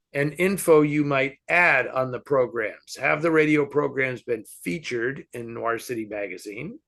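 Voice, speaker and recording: A man hosting a casual question-and-answer film discussion, talking in an animated, halting way as he thinks aloud. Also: mid-range voice, gravelly. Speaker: host answering fan questions.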